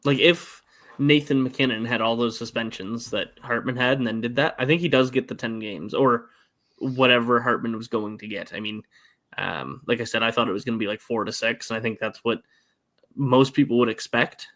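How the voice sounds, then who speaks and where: Mid-pitched man leading a hockey chat. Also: fairly even intonation, moderate pace, a bit bright. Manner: leads the discussion, laying out the facts before asking questions. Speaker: co-host, hockey writer. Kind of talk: casual sports podcast chat.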